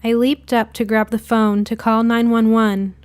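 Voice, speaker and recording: A woman's voice: fairly high pitch, flat delivery, unhurried, background noise. A woman reading a scripted first-person spooky short story as its narrator.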